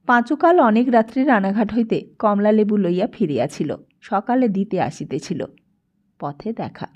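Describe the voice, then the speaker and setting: A woman narrates a literary story a script in a measured, expressive way. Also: mid-range voice, lo-fi sound. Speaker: audiobook narrator.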